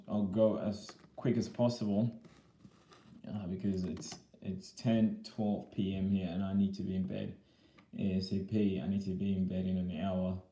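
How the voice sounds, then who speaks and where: A man live-streaming a casual vlog, slightly hoarse after being sick, talking haltingly with many fillers and repeated words. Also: sits low, warm tone, roomy sound. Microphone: iPad camera.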